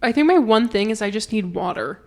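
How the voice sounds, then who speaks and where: Woman, podcast co-host, upbeat, casual chat, quick agreeing replies. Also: medium pitch, lively intonation, darkish tone. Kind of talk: casual chat, asking rapid-fire questions.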